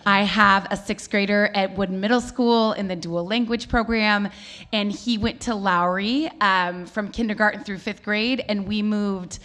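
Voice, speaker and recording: A woman from Oregon, a school board member, opening a public forum with loud, thankful remarks. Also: medium pitch, steady tone, a little slow. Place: church hall.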